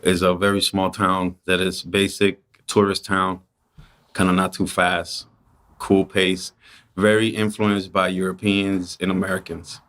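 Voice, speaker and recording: Relaxed low-voiced man from the Dominican Republic. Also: unhurried. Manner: relaxed, reflective storyteller. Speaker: painter and muralist, guest. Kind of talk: casual storytelling interview.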